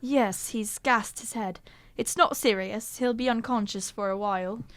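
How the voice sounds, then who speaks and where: Detective's companion: woman acting proper, earnest, puzzled gentleman, fairly high voice, dry radio-play sound. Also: measured pace, dry recording. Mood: concerned. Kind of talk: old-fashioned detective radio drama acting.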